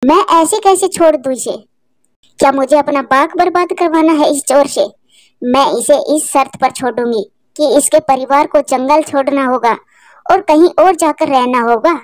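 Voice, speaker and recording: A woman's voice: high-pitched, normal speed, no room sound, close to an everyday voice. A woman voicing a stern, unforgiving female eagle who commands and threatens in a cartoon.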